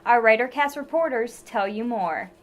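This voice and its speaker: A young woman reads campus news from a script with a fairly high, clear voice. Her delivery is even and polished, like a student news anchor. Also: moderate pace, dull audio.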